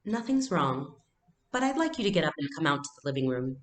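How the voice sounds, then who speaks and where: A woman reading a storybook aloud as a gentle, reassuring mother speaking to her child. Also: low-pitched, big pitch swings, fairly quick, reverberant.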